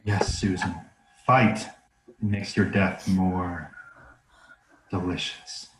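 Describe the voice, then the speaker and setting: An actor playing a man's reanimated corpse possessed by a sadistic killer, speaking slowly and with menace in a script read. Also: sits low, fairly even intonation, fairly bright tone, echoey room, slight background noise, rough recording. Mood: sinister, gloating. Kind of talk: screenplay table read.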